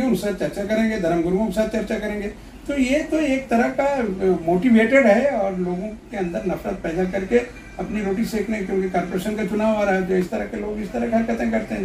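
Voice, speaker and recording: A man's voice: high voice, darkish tone. A man, speaking as a politician in an interview, explaining his case emphatically and insistently. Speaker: opposition party politician giving an interview. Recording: echoey room, distant mic, background noise.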